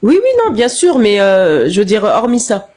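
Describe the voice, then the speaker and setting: A woman's voice: mid-range voice, very animated, normal speed, a little mellow. A woman in an online live chat, speaking bluntly and often restarting her sentences. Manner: blunt, talks over others, frequent restarts. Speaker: live stream participant. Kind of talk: casual heated discussion.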